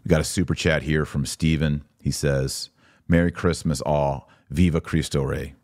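Low-voiced man hosting a live podcast. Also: fairly even intonation, normal speed, a little mellow, dry recording, studio quality. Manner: informal, opinionated, emphatic. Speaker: podcast host. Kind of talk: live commentary, reading listener chat comments.

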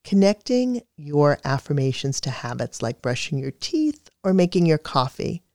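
A guided meditation narrator speaks in a middle register with a measured, soothing delivery. The reading is scripted and calm. The sound is close and dry, with very little room on it. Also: medium pitch, dry recording.

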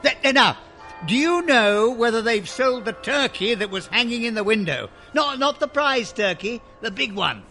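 An actor playing an elderly English former miser, giddy and overjoyed, in an excitable, stammering, theatrical radio drama style. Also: male voice, very high-pitched, normal speed, faint music behind, heavily acted. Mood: merry, excited. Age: older.

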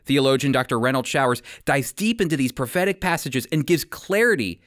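A man's voice: mid-range voice, lively intonation, brisk, fairly bright tone, no room sound, studio quality. A man preaching as a radio Bible teacher in a conversational sermon style, earnest and emphatic.

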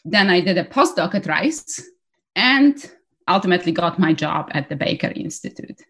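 Woman with a low voice. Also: expressive, creaky, a bit bright, breathy edge. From Poland. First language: Polish. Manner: measured, thoughtful, explanatory. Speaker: guest energy policy researcher. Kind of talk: interview answers.

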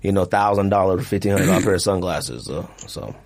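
A man hosting a podcast in casual, slangy chat, talking in an animated way and repeating himself for emphasis. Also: deep voice, a little fast, husky. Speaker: podcast host. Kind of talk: casual chat, friendly debate.

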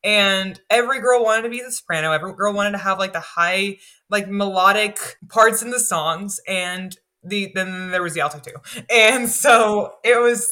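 Bright, chatty woman with a lower voice. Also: normal speed. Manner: animated, chatty with many "like" fillers. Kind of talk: casual podcast chat.

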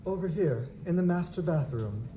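A man's voice: a little high, expressive, normal speed, dark tone, close to an everyday voice. A man playing a formal, touchy young nobleman, a bereaved fiancé, in an audio drama, with stiff aristocratic diction. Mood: wistful, troubled. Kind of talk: live audio drama acting. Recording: roomy, echoing, noisy live recording.

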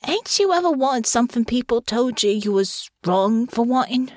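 A woman voicing a humble young male airship crewman with a soft, homey rural drawl and folksy grammar. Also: fairly high pitch, expressive, normal speed, no room sound, clean studio sound, voice pushed up. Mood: pensive. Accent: rural country dialect. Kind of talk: audiobook character voice.

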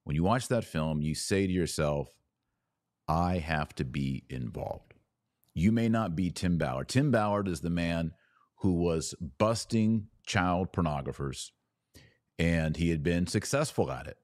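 Deep-voiced man hosting a film review. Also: a little slow, darkish tone, no room sound, clean studio sound. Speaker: podcast host. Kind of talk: solo film review monologue.

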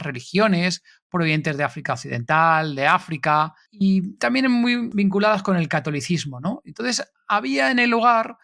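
This is a podcast host who talks at a middling pitch. The host builds a story in a loose, conversational way and keeps checking in with listeners through little questioning tags. The result is a relaxed, explanatory chat. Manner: explains at length, tags sentences with "no?".

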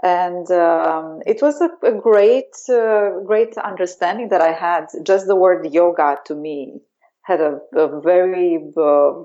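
A woman's voice: lowish voice, slow, warm tone, dull audio. A woman from Romania with a Romanian accent, a yoga teacher speaking in an interview, hesitant with many fillers. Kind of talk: remote interview.

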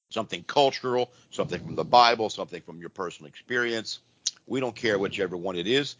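A hoarse man with laryngitis hosts a live call-in radio show, talking in a casual, rambling way with frequent restarts. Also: on the low side, fairly bright tone. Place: church. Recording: dull lo-fi radio audio with faint noise.

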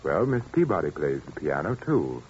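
A man's voice: rumbling low, big pitch swings, normal speed, darkish tone. An actor playing an overwrought, commanding widowed father with genteel menace, in 1940s radio suspense style. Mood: genteel, insistent. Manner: theatrical, commanding. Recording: muffled, noisy 1940s radio recording.

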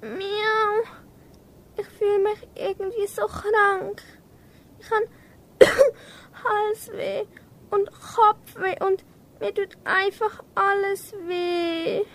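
A woman's voice: very high voice, little pitch movement, fairly bright tone, full character voice. A young teenage girl playing a small, naive, anxious cat in an amateur radio play, meowing between phrases. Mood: sick, whining. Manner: slow, punctuates speech with meows. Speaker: school pupil in a radio workshop.

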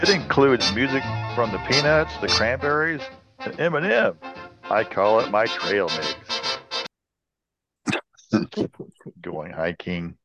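A man co-hosting a casual comedy chat, telling jokes with slow punchlines and repeating himself. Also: mid-range voice, very animated, over loud music, rough recording. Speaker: podcast co-host.

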